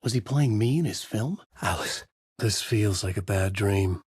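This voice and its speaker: An actor playing a brooding, haunted male writer who gravely narrates his own horror story in a husky voice for a video game. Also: lowish voice, measured pace, dry recording, clean studio sound. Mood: brooding, ominous.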